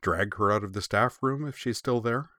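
A man's voice: fairly low pitch, normal speed, pushed and bright, dry recording, studio quality, voice pushed up. A man voicing a brash, impatient teenage schoolgirl in an audiobook, blunt and exasperated. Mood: frustrated. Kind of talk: audiobook character dialogue.

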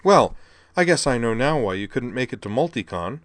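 A man's voice: on the low side, moderate pace, a bit bright, no echo, close to an everyday voice. Sylvie, a man voicing an exasperated woman. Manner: exasperated, sarcastic. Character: exasperated young woman, sharp-tongued tech worker.

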